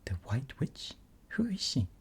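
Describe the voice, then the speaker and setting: A woman's voice: a bass voice, sing-song, a little slow, slightly rough, full character voice. A woman voicing a kind, earnest young girl, lifting her voice and often whispering. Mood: reassuring. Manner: soft whispery reading of a child's pleas.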